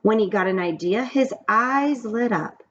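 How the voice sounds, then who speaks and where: A woman reading a children's story aloud in an expressive storytelling style. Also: on the high side, unhurried. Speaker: story reader. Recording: dull, muffled, background noise.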